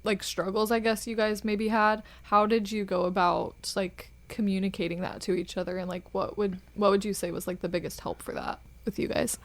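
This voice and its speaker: A young woman co-hosting a student podcast, upbeat, with quick reactions and a casual "y'all". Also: medium pitch, steady tone. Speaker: podcast co-host.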